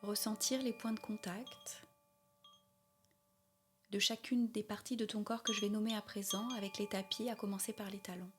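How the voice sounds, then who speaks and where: A woman leading a guided yoga nidra meditation in a soothing, unhurried way, with soft, breathy phrase endings. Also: mid-range voice, little pitch movement, noisy background. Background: meditation music with chimes.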